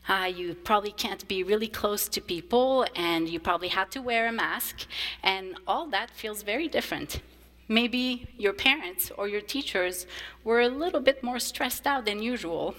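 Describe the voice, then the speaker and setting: A woman whose first language is French, a choir director, gives a gentle children's message in church. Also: medium pitch, normal speed. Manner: warm, simple storytelling to children. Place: church sanctuary. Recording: long reverb of a church sanctuary.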